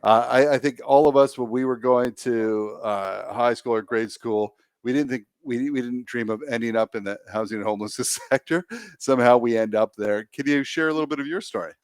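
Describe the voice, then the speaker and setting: A man's voice: medium pitch, brisk, clean tone, dry recording, clean studio sound. A man hosting a podcast, introducing and questioning his guest in an upbeat, encouraging way. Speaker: podcast host. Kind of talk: friendly interview hosting.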